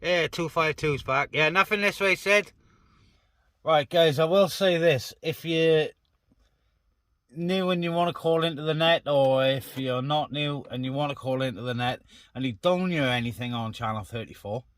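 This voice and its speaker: A man's voice: fairly high pitch, normal speed, fairly bright tone, dry recording. A man operating CB radio, chatting casually and making calls in a hesitant, conversational way with radio phrases. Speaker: CB radio operator, net helper.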